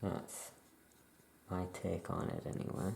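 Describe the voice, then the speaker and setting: A soft-spoken ASMR performer playing a laid-back witch shopkeeper, musing aloud in an unhurried, creaky voice. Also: low voice, little pitch movement. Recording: faint background noise.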